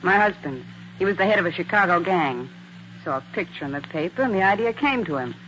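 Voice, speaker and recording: A woman's voice: lowish voice, expressive, fast, fairly bright tone. An actress playing a cool, calculating young female impostor in a 1940s radio drama. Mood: cool, unrepentant. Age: young adult. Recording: muffled, hissy old radio recording.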